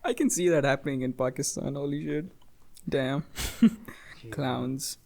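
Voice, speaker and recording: A man's voice: mid-range voice, measured pace, vocal fry, darkish tone. A young man co-hosting a podcast in casual banter, slangy, profane and jokey. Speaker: podcast co-host.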